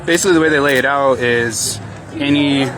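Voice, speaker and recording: A man's voice: medium pitch, a little fast, crowd noise, poor audio. A man speaking casually and politely as a citizen journalist doing street interviews, often hesitant and informal in his phrasing. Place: outdoors by a vaccination line. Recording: loud outdoor traffic and vehicle noise.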